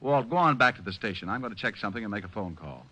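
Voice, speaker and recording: A man playing a sharp, confident, needling private detective in old-time radio style, speaking in a punchy, wry way. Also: fairly low pitch, animated, rapid-fire. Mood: wry, bantering. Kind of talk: 1940s-50s radio detective acting.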